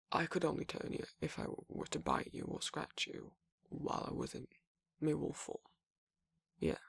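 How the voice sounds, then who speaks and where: A man performs a gruff but easygoing werewolf boyfriend for an ASMR audio drama. His voice sits a little high for a man, yet it is husky and thick with creak. He rambles casually, stopping and restarting as he explains things. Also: fairly bright tone, no echo, clean studio sound, heavily acted. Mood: casual, reassuring. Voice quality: gravelly, husky. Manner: halting, rambling, casual swearing. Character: gruff, laid-back male werewolf boyfriend explaining monster lore. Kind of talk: intimate ASMR roleplay monologue.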